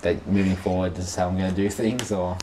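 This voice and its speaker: A man's voice: low-pitched, level tone, quick pace, background noise. A young man in his twenties hosting a casual podcast, rambling with lots of fillers, on a close studio mic. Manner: rambling, full of "like" and "you know". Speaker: podcast host, filmmaker. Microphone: podcast mic on boom arm.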